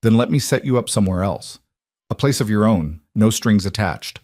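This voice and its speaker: A man voices a remorseful, controlling mafia boss in an audiobook romance. He is a low-voiced husband, measured and insistent, in a dry recording. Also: lively intonation, a little mellow, dry recording.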